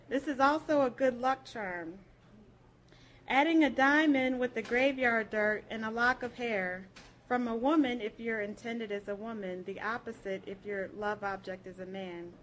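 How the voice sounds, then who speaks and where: A woman's voice: fairly high pitch, fairly bright tone, slight background noise. A woman reading folksy prose aloud at a live program, with a playful, colloquial storytelling delivery. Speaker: public reader at a library program.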